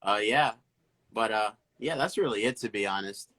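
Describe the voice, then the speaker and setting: A man's voice: lowish voice, moderate pace, sharp tone. A young man, born in Haiti and raised in the US, chatting casually and conversationally with some slang. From born in Haiti, moved to the US when very young. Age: young adult. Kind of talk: casual chat.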